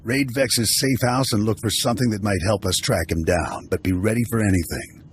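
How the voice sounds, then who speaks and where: A man playing a seasoned bounty hunter mentor who briefs in a steady, matter-of-fact way in video game dialogue. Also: on the low side, brisk, a little hiss or hum, close to an everyday voice. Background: faint game music.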